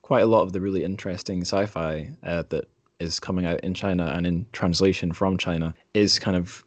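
Low-pitched man hosting a podcast. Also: steady tone, a little fast, no room sound. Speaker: podcast host. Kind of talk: casual literary discussion.

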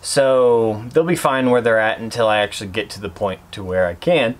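A young man talking casually and a bit haltingly to camera, explaining a DIY job as a vlogger. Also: lowish voice. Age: young adult. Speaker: DIY bus conversion vlogger.

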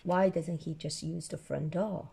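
A woman voicing a curious, eager little girl pig from a children's storybook, in a hoarse voice. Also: sits low, steady tone, a little slow, warm tone, slight background noise. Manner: inquisitive, eager.

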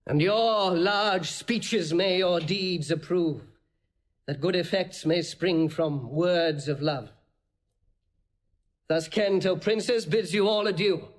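High-voiced, defiant nobleman. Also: slow. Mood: resolute farewell. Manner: earnest, declamatory, outspoken. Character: blunt, loyal nobleman, passionate and defiant. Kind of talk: classical Shakespearean audio drama acting.

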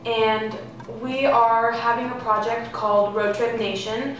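A woman's voice: fairly high pitch, little pitch movement, unhurried. A teenage girl student setting up and asking interview questions, hesitant, with many ums. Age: teens. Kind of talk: polite phone call and interview questions. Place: indoor room. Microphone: camera across the room. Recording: echoey room, faint music.

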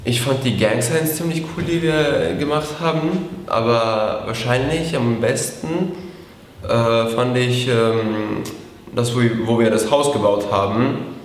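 A man's voice: mid-range voice, takes it slowly, a little hiss or hum. An eighteen-year-old man from Latvia answering interview questions casually, hesitant, with frequent fillers. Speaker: camp participant. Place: indoor room against a bare wall. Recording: echoey room with long reverb.

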